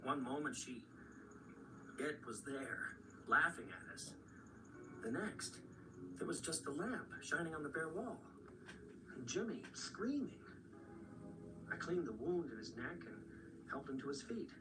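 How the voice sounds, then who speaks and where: A man's voice: on the high side, expressive, a little slow, long pauses, music in the background. A man acting a shaken novelist recounting horrors in a radio drama, heard as a distant, noisy playback. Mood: haunted. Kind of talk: radio drama narration and dialogue.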